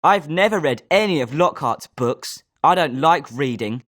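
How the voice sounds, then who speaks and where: A man's voice: fairly high pitch, lively intonation, measured pace, a bit bright. A man playing a British schoolboy wizard in a video game, speaking scripted lines expressively. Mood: sneering. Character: schoolboy wizard student, polite but sometimes sneering.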